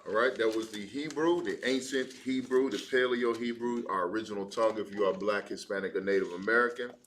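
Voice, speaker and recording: Low-voiced man teaching the Bible casually. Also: a little fast, very noisy. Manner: casual, explaining with fillers like "you know what I mean".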